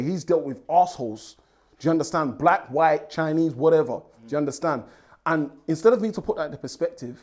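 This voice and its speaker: A man's voice: fairly high pitch, a little mellow. A young man, co-hosting a panel and arguing his view assertively, often asking if he is understood. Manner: assertive, persuasive, keeps saying "do you understand". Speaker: panel co-host. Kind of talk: casual panel discussion.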